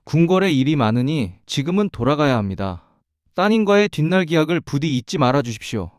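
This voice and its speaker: A man's voice: on the high side, big pitch swings, a little fast, no room sound, clean studio sound. A man voicing a bold young commander who speaks formally and with authority in a storybook reading.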